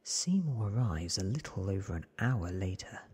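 A man in his thirties reading a story aloud in a hushed, deliberate ASMR whisper, close on the microphone. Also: sits low, lively intonation. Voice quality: breathy whisper. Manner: hushed, deliberate, short measured phrases. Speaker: narrator reading a novel aloud. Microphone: close studio microphone, seen.

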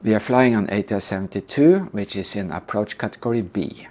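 An older man calmly teaching a scripted lesson on flying approaches. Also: lowish voice. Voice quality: calm, measured explanation. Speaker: flight instructor. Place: indoor room.

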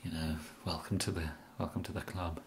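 A young man with an English accent in a soft-spoken ASMR comedy roleplay, hesitant and mumbling, as a bumbling, polite amateur kidnapper. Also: deep voice, flat delivery, a little fast, gravelly, slight background noise. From England. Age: young adult. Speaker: ASMR performer.

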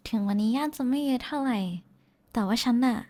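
A woman performs a young woman in an intimate audio roleplay, with a voice pitched a bit high. She is bashful and affectionate, chatting casually to someone she likes. Her teasing comes with small hesitations. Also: quick pace, darkish tone, close to an everyday voice. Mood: tender, teasing. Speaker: VTuber voice actress. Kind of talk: intimate roleplay voice pack addressed to the listener.

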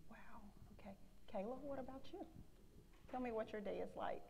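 A Southern American woman leads a church panel, her voice carrying a gravelly edge, upbeat and quick to say amen. She sounds like she is in a big church sanctuary, with a long reverb behind her. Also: fairly high pitch, background noise. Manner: upbeat, frequent amens.

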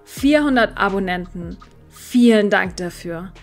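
A woman's voice: medium pitch, lively intonation, measured pace, faint music behind. A woman hosting a podcast, giving a scripted introduction and addressing her listeners. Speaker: podcast host, church deacon.

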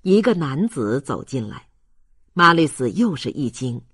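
A man reading a novel aloud as an audiobook narrator, in an expressive literary storytelling style. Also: mid-range voice, a little slow, slightly rough, no room sound, studio quality.

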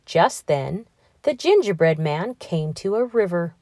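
Woman reading a kids story out loud, slow and really expressive, dry sound. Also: on the low side, no room sound. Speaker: storybook reader, channel host. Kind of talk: expressive children's read-aloud narration.

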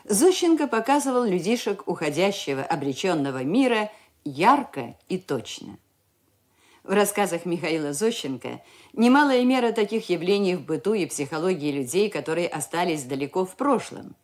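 A woman radio announcer reading a formal scripted introduction with expressive delivery. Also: mid-range voice.

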